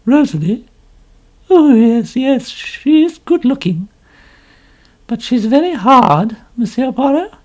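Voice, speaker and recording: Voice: sits high, sing-song, takes it slowly, long pauses, a little mellow, pitched above the performer's own voice, heavily acted. A narrator voicing a pompous, melodramatic older woman novelist who talks in a complaining way. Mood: gushing.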